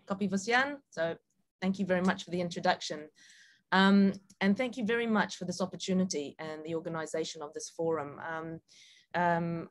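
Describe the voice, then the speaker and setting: Lowish-voiced woman with a Kiwi accent. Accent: New Zealand. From New Zealand and Sabah, Malaysia. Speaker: guest speaker, film director. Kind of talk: informal presentation.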